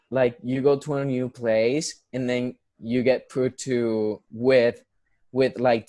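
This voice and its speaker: Young man with a Puerto Rican accent, mid-pitched voice, dry close sound, earnest and chatty with lots of restarts. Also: darkish tone, dry recording. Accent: Puerto Rican Spanish. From Puerto Rico. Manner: earnest, many fillers and restarts. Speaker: guest, musical theater performer. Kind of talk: casual conversational interview.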